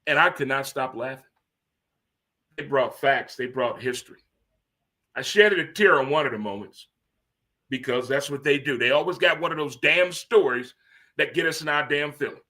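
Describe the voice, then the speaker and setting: A man in his fifties hosting a livestream sports talk show, rambling and animated, teasing himself and asking "huh?". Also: medium pitch, moderate pace, long pauses. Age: 50s. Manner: talks to himself by name. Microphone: streaming studio mic.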